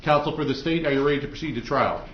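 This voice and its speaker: A man with an American accent speaking as a judge, formally addressing jurors with halting hesitations. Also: fairly high pitch, a little hiss or hum. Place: indoor courtroom. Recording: echoey courtroom, dull muffled audio.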